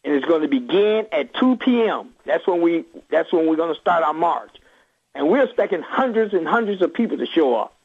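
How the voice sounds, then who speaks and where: A man with a rough-edged voice and widely swinging pitch speaks as a guest on a radio call-in. He is emphatic and repeats his phrases for force. The sound is narrow and phone-like. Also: animated, brisk. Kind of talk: impassioned political interview talk. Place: on a phone line to a radio show.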